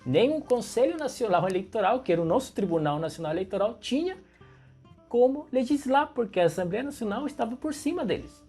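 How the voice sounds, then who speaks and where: A man's voice: very high-pitched, animated, brisk, warm tone, husky, faint music behind. A young man from Venezuela with a Venezuelan Spanish accent, a native Spanish speaker, giving emphatic political commentary straight to the viewer.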